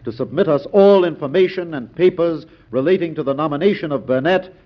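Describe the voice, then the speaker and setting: An actor playing a calm, scheming American senator in an old-time radio drama, speaking in a measured, oratorical way. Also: male voice, a little high, close to an everyday voice. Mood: confident, patient. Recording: dull, lo-fi old radio recording.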